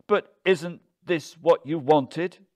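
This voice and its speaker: Male narrator as older husband, bitter, defensive, confrontational, raised pitch, audiobook drama. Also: takes it slowly, darkish tone. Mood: frenzied. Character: tense, bitter older husband, quick to anger.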